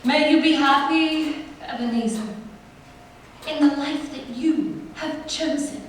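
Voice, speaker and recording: A woman playing a sorrowful, resolute young fiancée in a period radio play, with measured, earnest delivery. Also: high-pitched. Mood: sad, resolute. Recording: distant echoey hall, faint noise.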